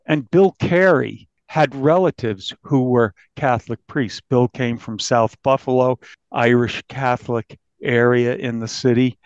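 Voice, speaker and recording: A man with an American accent recounting a case as an interviewed reporter, haltingly, often restarting his phrases. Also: mid-range voice, no room sound. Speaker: newspaper reporter being interviewed. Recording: dull, dry lo-fi recording.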